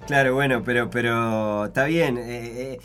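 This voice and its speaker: A man's voice: mid-range voice, moderate pace, fairly bright tone, smooth. A man, speaking in a casual chat, thinking aloud with many restarts. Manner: reflective, hesitant, many restarts.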